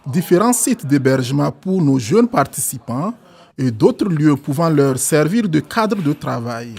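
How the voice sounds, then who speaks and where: A man reading a scripted documentary narration in a gravelly voice. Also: a little high, sing-song, unhurried, a little mellow. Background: music.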